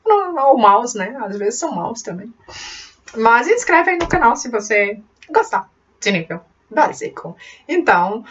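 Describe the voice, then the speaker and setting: A woman's voice: a little high, very animated, a little slow, recorded from a distance, slight background noise. A woman with a mixed Brazilian and European Portuguese accent, hosting a beginners' computer channel. She talks in a chatty, encouraging way, full of fillers and restarts. The voice sits a little back from the mic in a dull, lo-fi home recording. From born in Brazil, raised in Portugal. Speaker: computer tutorial channel host. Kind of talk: casual talk to camera about her channel.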